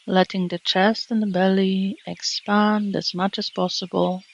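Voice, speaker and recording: A woman meditation teacher narrating a guided relaxation in a gentle, calming, measured way with long pauses. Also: mid-range voice, gravelly, muffled recording. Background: birdsong and nature sounds.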